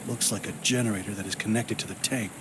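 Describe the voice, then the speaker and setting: An actor playing a distressed, brooding man in a video game who searches for his missing sister, speaking gravely and formally. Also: lowish voice, steady tone, quick pace, noisy background, close to an everyday voice. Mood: bewildered, urgent. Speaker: game protagonist and narrator. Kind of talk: dramatic video game voice acting.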